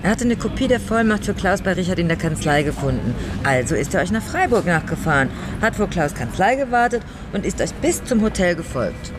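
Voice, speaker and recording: A woman's voice: fairly low pitch, sing-song, fairly quick, hardly any pauses, noisy background. An actress playing a composed, matter-of-fact woman who explains a solved crime in a radio drama, with a dry manner.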